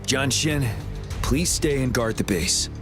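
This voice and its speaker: A resolute military commander acted by a man for a video game. He speaks at a middle pitch in firm, measured orders. Music plays clearly behind his voice. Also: close to an everyday voice. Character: commanding male general briefing troops, resolute and steady.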